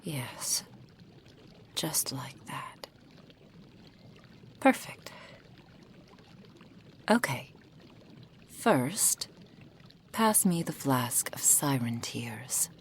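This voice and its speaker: A woman as a possessive kitsune witch, very slow. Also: a bass voice, sing-song, heavily acted. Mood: soft, coaxing. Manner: breathy whispering, formal archaic phrasing. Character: possessive kitsune witch mentor, doting then scolding. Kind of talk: roleplay ASMR acting.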